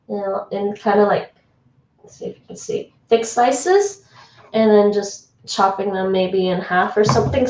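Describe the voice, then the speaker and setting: A woman's voice: mid-range voice, darkish tone, recorded from a distance. Chatty woman streaming from an echoey kitchen. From Toronto, Canada. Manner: chatty, answers chat, laughs often. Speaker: livestreamer. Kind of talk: casual livestream chat while cooking. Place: home kitchen. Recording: echoey room, voice off-mic.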